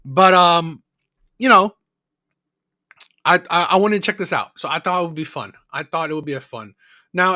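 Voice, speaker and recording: High-voiced man chatting on a livestream. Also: a bit bright, dry recording, clean studio sound. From Philippines. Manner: rambling, repetitive, often adding "right?" as a tag. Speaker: livestream host of a call-in show. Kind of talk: casual unscripted chat to live viewers.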